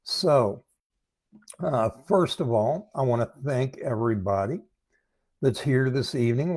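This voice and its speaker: A man hosts an informal livestream at a slow, halting pace. His voice is troubled by throat problems, and he speaks directly to his viewers. The sound is dry, with little room in it. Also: medium pitch, darkish tone, no room sound. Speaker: live stream host, recovery coach. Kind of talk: casual livestream announcements.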